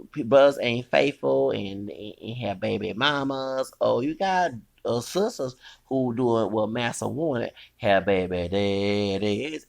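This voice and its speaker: A man's voice: mid-range voice, gravelly, a bit bright. A man, ranting in a heated, rambling commentary and scolding his critics with curses. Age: 40s.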